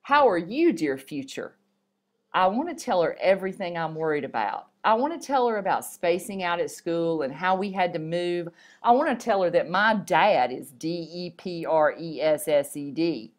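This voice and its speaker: A woman with a fairly low voice reads aloud as if from a storybook. Her delivery is expressive, with a small stumble now and then. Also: normal speed. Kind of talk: reading a story and poem aloud.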